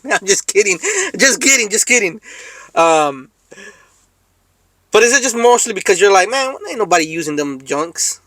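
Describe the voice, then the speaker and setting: A man's voice: a little high, fairly bright tone, no room sound. An American man chatting casually to viewers as a trucker vlogger, rambling along in laid-back street slang.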